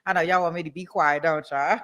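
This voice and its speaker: A chatty commentator runs a live stream, talking straight to the viewers and laughing between remarks. The tone is bright and forward, set at a middle pitch. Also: fairly even intonation, a little fast, lo-fi sound. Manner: chatty, talks back to viewers, laughs often.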